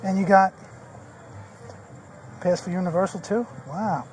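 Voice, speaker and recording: A man's voice: sits high, steady tone, unhurried. A male police officer questioning someone on scene in a matter-of-fact, informal way, picked up on a body camera. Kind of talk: on-scene questioning during an arrest. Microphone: body-worn camera. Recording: noisy body camera audio.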